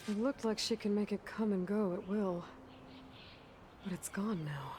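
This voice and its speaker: A woman voicing a serious, observant young warrior scout in a video game, muttering her thoughts in hushed asides. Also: mid-range voice, measured pace, lightly acted. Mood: focused, pondering. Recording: game audio with clear ambient noise.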